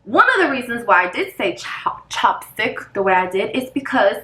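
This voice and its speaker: A woman's voice: a little high, very animated, moderate pace, a bit bright. Chatty woman in an echoey room. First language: Spanish. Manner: chatty, rambling, loses her place and restarts; talks while doing her makeup. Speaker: vlogger. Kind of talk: casual get-ready-with-me chat.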